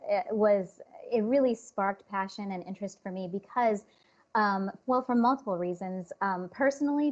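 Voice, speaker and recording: A woman guest in an interview who answers thoughtfully, halting and restarting her sentences. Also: mid-range voice, a little mellow. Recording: dull lo-fi sound.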